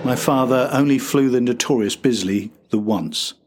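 Husky-voiced man narrating a documentary. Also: mid-range voice, moderate pace, darkish tone. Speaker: podcast host and narrator.

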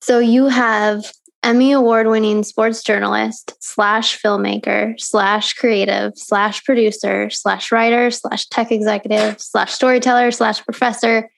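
A woman hosting an interview with an upbeat manner and quick asides. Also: on the high side, little pitch movement, vocal fry. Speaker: interviewer, host. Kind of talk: casual interview.

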